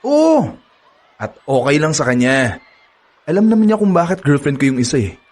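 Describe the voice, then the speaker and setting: A man's voice: fairly high pitch, sing-song, normal speed, a little mellow. An actor playing a cocky, boastful young male college student who uses women, laughing smugly as he brags. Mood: cocky boasting. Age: young adult. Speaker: actor in a radio drama. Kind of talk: radio drama acting.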